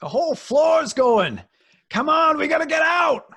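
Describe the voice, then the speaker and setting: An actor playing a wary, jittery crook's accomplice with an American accent, urgent and terse, in old-time radio horror style. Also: male voice, very high voice, sing-song, unhurried, sharp tone, heavily acted. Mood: panicked. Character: Pete, wary, jittery male bank-robber accomplice.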